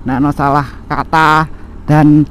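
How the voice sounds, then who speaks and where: A man with a Javanese accent chatting casually while riding a motorbike, talking to viewers through an action camera. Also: on the high side. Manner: slow, relaxed chatty narration in Javanese mixed with Indonesian. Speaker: motovlogger. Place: riding a motorbike on an outdoor road. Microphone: helmet or bike-mounted action camera. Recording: faint road and traffic noise.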